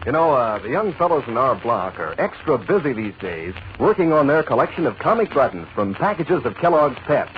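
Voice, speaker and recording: A man's voice: mid-range voice, very animated, a little fast, few pauses. A man announcing a folksy 1940s radio advertisement, chummy and salesmanlike. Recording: muffled, hissy 1940s radio recording.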